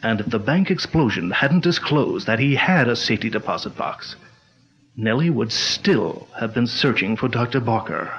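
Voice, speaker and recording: Commanding crime-fighting hero of vintage radio; declamatory, muffled old recording. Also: male voice, medium pitch, animated, moderate pace, fairly bright tone. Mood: calm. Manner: firm, declamatory, authoritative. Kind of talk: 1940s radio acting.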